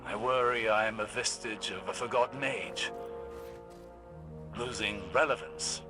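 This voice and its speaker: An actor playing a proud, weary and reflective warrior of a forgotten age in a video game, with formal, brooding, deliberate speech. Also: male voice, fairly low pitch, steady tone, music in the background, close to an everyday voice. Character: weary ancient warlord man, reflective and proud. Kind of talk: dramatic game dialogue.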